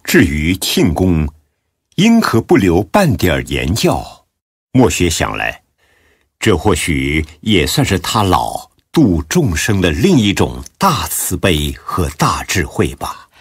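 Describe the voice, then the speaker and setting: Deep, husky, slow male narrator. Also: no room sound, clean studio sound. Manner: measured, expressive recitation. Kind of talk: solemn scripted narration of a Buddhist audiobook drama.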